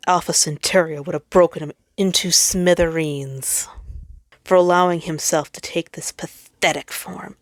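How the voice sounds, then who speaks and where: A woman reading a story aloud as a dramatic narrator, theatrical and emphatic, with phrases that trail off softly. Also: low voice, dry recording, studio quality. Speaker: fan fiction reader.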